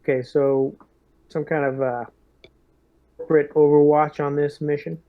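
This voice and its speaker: A man talks through a tabletop roleplaying session in a casual, halting way. His pitch moves very little. The sound is dull and roomy, like voice chat from an untreated room. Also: level tone. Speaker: player in a tabletop roleplaying game. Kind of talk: casual in-character and table talk during a tabletop game.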